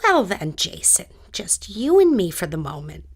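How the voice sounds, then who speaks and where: A woman's voice: lowish voice, huge pitch swings, moderate pace, a little hiss or hum. A woman playing a kindly, chatty older lady in an audio drama, genteel in manner as she befriends a lonely ghost. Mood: confiding, tender. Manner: genteel, chatty, addresses others as dear.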